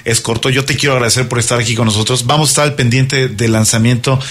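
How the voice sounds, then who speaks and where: A man's voice: mid-range voice, steady tone, quick pace, fairly bright tone. A man with a Mexican accent hosting a radio interview, with friendly, playful banter. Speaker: radio host.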